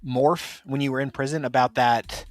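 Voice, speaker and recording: A man's voice: medium pitch, fairly even intonation, normal speed, dry recording. A man narrating as game master in a casual tabletop game podcast, explaining at length with lots of fillers and restarts.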